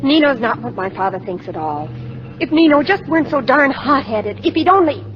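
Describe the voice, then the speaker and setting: High-voiced restless young daughter. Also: female voice, sing-song, a little fast. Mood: confiding. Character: polite, restless young daughter with secret boyfriend. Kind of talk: 1950s radio drama acting. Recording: dull, faintly noisy old radio broadcast.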